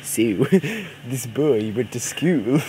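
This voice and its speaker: A young man improvises a silly story for friends in a put-on cartoon parody voice, halting and often breaking into giggles. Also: medium pitch, mellow. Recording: noisy amateur home recording.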